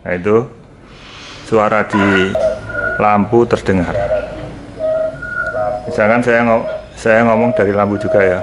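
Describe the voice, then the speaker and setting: A man's voice: lowish voice, steady tone, measured pace, long pauses. A man giving a casual hands-on product demonstration, repeating short phrases as he goes. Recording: noticeable background noise.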